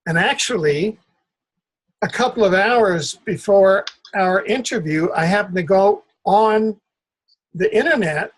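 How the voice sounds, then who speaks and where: An older man hosting a conversational interview, speaking in a halting, deliberate way. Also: high-pitched, expressive, low-quality recording. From Cuba. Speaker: interview host.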